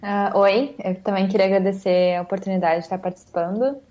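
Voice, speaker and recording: A woman's voice: mid-range voice, fairly even intonation, quick pace, mellow. A young woman with a Gaúcho Brazilian accent, an engineering student and guest, introducing herself politely and a little shyly. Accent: Gaúcho Brazilian Portuguese. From Rio Grande do Sul, Brazil. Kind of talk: casual interview.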